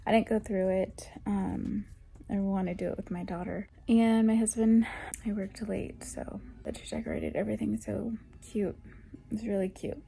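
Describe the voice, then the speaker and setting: A young adult woman vlogging in a soft, whispery voice, rambling casually with lots of ums, recorded through headphones used as a mic. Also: medium pitch, sing-song, normal speed, creaky. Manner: rambling, with many ums and restarts. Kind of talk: casual chatty vlog talk. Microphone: headphones used as a microphone.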